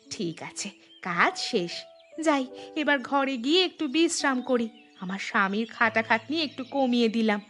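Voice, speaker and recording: A woman playing a practical, chatty village housewife in a Bengali cartoon, speaking in an expressive, homely way. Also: a little high, measured pace, soft background music. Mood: busy, content.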